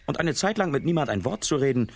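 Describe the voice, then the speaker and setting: An actor playing a naive, lazy, good-natured peasant lad in a children's radio play, with a husky, wondering voice. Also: male voice, a little high, fast talker, slight background noise. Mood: easygoing, curious. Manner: wondering, questioning, expressive.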